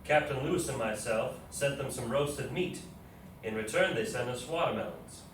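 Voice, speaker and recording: A plain-spoken explorer and army officer in his thirties acted by a man reads his journal aloud on stage. The voice is mid-pitched and comes from some distance in a very echoey room, with faint noise. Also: animated, moderate pace, natural voice. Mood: matter-of-fact. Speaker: local stage actor. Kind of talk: readers theatre, reading journal entries aloud. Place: live readers theatre performance in an echoey indoor space.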